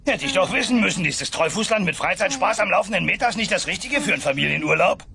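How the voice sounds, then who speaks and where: A man playing a bumbling, excitable, boastful dinosaur father in a comic audio drama. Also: high voice, fast, vocal fry, heavily acted. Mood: dejected.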